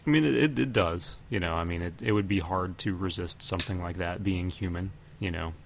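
Low-voiced man on a noisy podcast. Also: a little fast, creaky. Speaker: podcast host. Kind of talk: casual TV show discussion. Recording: noticeable background noise.

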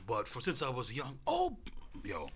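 A man in his late twenties hosting a casual group discussion, talking in an animated, slangy way. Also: medium pitch, measured pace, a little hiss or hum. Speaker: podcast host moderating a panel.

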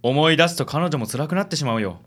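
A man playing a suave, theatrical gentleman with pompous, chivalrous, flowery speech in a comic radio drama. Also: fairly high pitch, animated, quick pace. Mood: dramatic concern. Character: suave, theatrical gallant man who fusses over ladies.

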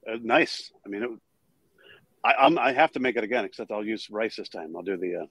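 A male brewmaster guest answering questions casually, pausing often to recall details. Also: fairly low pitch, expressive, fairly bright tone, slightly rough, no echo. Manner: hesitant, lots of uh and um while recalling numbers.

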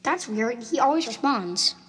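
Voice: medium pitch, lively intonation, measured pace, a bit bright. A performer playing an earnest, insistent schoolboy in an amateur radio drama. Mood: sulky, worried. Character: Timmy, earnest schoolboy who insists on what he saw. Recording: home recording with clear noise and clicks.

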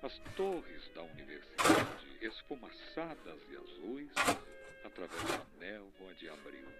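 A solemn Brazilian man narrates an old-style radio drama, performed by an actor. His delivery is slow and theatrical, with sweeping pitch movement. Loud music plays behind him. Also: big pitch swings, creaky, exaggerated voice. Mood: solemn, wistful. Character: solemn male radio narrator recalling a devastating invasion.

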